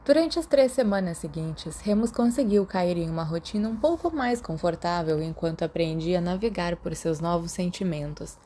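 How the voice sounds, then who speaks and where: A woman's voice: fairly low pitch, expressive, normal speed, hardly any pauses, dark tone, a little hiss or hum. A woman with a Brazilian accent reading a story as a scripted audiobook narrator. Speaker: podfic narrator.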